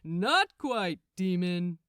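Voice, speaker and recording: A woman's voice: fairly low pitch, very animated, extremely slow, fairly bright tone, clean tone, no echo, clean studio sound, full character voice. A woman voicing a smug, impatient male angel with a brash, sarcastic, exasperated manner in a storybook reading. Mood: smug, mocking.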